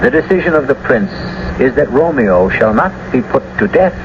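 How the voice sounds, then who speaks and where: A man's voice: mid-range voice, lively intonation, normal speed, highs cut off, noisy background. A man hosting and narrating a radio drama in a scripted, dramatic style on an old broadcast recording.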